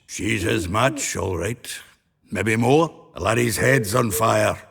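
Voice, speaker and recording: An actor playing a hearty, blunt dwarf in a fantasy game, a raspy voice and a jovial, colloquial manner. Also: male voice, fairly low pitch, takes it slowly, fairly bright tone, exaggerated voice. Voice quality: gravelly, raspy. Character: hearty, blunt, earthy male dwarf friend. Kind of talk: fantasy video game dialogue.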